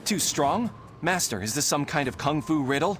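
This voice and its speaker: A fawning young martial arts disciple in a video game. His delivery is theatrical and earnest, swinging widely in pitch, with faint noise behind him. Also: animated, moderate pace, fairly bright tone. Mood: eager, then puzzled. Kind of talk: video game character acting.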